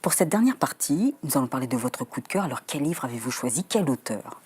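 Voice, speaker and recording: A woman leads a televised book interview as host. Her voice is notably deep for a woman. She asks measured questions, sometimes searching for the word. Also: sing-song, a little mellow, breathy edge, faint music behind. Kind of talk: TV studio interview.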